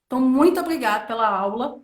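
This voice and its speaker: A woman's voice: a little high, lively intonation, fast. A woman from Brazil hosting a live stream, speaking in a casual conversational way and leaning on fillers as she talks. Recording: echoey room.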